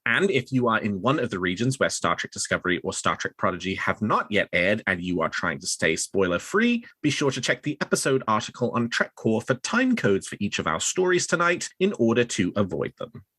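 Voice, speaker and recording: A man's voice: on the low side, big pitch swings, a little fast, hardly any pauses, a bit bright, slightly rough, dry recording. A man hosting a fan news podcast, presenting into a close microphone. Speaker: podcast host.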